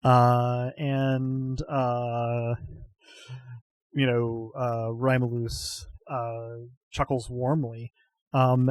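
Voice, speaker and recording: A man hosts a tabletop role-playing podcast as its game master, speaking at an ordinary middle pitch. He talks in a loose, halting way, padding his sentences with fillers and little chuckles. His voice sounds close and dry, with no room around it. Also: a little mellow, no room sound.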